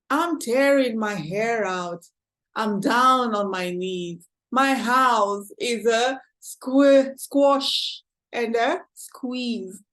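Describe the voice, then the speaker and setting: A woman with a Kenyan accent voicing a fretful little old woman who wails and pleads about her cramped house in a storybook. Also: high-pitched. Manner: wailing, exclaiming and pleading.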